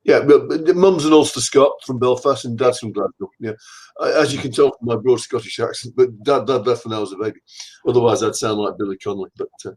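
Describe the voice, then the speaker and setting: A man's voice: medium pitch, fast talker, a little mellow, slightly rough, low-quality recording. An older man from England, a guest in an interview, telling rambling, self-deprecating stories from his career over a video call. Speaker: guest anatomist. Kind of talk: casual conversational interview.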